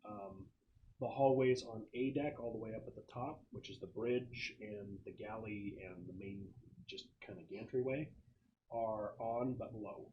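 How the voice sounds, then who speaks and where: A man acting as game master, casually narrating a tabletop game in a halting, explanatory way. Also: lowish voice. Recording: dull lo-fi recording with clear noise.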